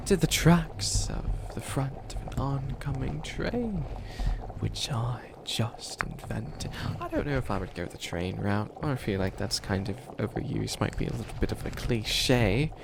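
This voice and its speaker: A man playing a grandiose but bumbling evil witch in a comedic ASMR roleplay, breathy and often near a whisper. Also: medium pitch, noisy background. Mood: grandiose menace. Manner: theatrical villain declarations breaking into rambling, self-doubting asides.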